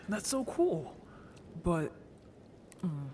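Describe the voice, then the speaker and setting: Higher-voiced, hesitant young merfolk, acted by a man. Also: very animated, drawn-out words, darkish tone, slight background noise, exaggerated voice. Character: shy, hesitant, curious young merfolk male, gentle and anxious. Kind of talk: audio roleplay drama acting.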